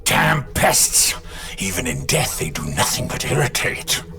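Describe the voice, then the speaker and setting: Sarcastic weary tech marine, lively pitch, grumbling, loud music behind. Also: male voice, a little slow, gravelly, heavily acted. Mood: sardonic. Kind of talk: game cutscene voice acting.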